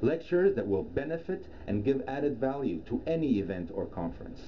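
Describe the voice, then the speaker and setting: A middle-aged man from Iran, a native Persian speaker, giving a formal, measured scripted presentation as a professional lecturer. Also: mid-range voice, big pitch swings. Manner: formal, measured, deliberate phrasing. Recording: dull lo-fi sound, clear background noise.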